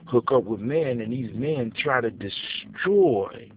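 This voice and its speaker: A man's voice: medium pitch, lively intonation, slow pace, husky. A man telling a folktale off the cuff for grown-up listeners, dramatic and emphatic, repeating phrases for effect. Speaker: storyteller. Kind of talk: improvised oral folktale telling for adults. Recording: echoey, muffled phone-like audio.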